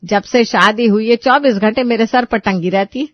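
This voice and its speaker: A woman's voice: on the high side, moderate pace, no echo, muffled recording, lightly acted. A woman voicing a gossipy, dramatic older mother-in-law type in an acted audio story. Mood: exasperated. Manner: complaining, dramatic. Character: exasperated, gossipy older Indian mother-in-law and her aunty friend.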